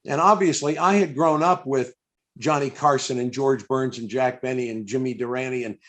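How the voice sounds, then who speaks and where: A man's voice: mid-range voice, moderate pace, fairly bright tone. An older American man interviewing a guest in a casual, effusive, reminiscing way, often repeating himself. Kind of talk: casual, chatty live interview. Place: indoors on a video call.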